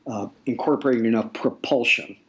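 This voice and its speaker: A man speaking as an author guest in a conversational interview, explaining deliberately and repeating phrases for weight. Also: medium pitch, a bit bright. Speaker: nonfiction author, podcast guest.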